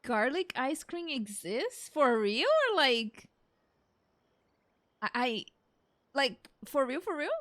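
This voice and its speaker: A woman's voice: high-pitched, lively intonation, slow pace, pauses often, a bit bright. A woman doing a playful let's play, casually reacting and reading game dialogue aloud. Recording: game music behind.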